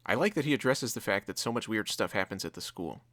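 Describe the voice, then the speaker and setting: Lowish-voiced man recapping a TV episode. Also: animated, fast talker, fairly bright tone, slight background noise. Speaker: reviewer, co-host. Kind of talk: casual episode recap and commentary.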